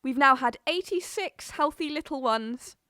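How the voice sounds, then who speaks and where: A woman's voice: high voice, animated, a little slow, bright, forceful tone, dry recording, studio quality, full character voice. A woman playing a wistful farm wife composing a letter in an audio drama monologue, hesitant and musing. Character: wistful English farm wife writing to her absent husband.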